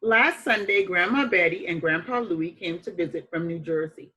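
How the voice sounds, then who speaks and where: A woman reading a children's picture book aloud like a teacher, with expressive, emphatic phrasing and deliberate dramatic pauses. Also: on the low side, low-quality recording.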